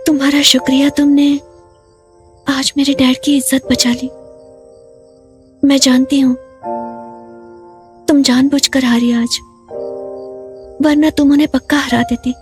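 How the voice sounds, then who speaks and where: A devoted young daughter in a dubbed drama. She speaks earnestly and emotively in a high voice, over clearly heard music. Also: flat delivery, a little slow, pauses often. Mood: grateful, tender. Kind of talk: dubbed screen drama dialogue.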